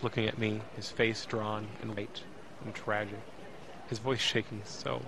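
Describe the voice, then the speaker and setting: An actor playing an anxious, emotional young man who narrates his ordeal in an audio drama, intense and halting. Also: fairly low pitch, flat delivery, gravelly, noisy background. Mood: tense, afraid. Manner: intense, halting, repeats words.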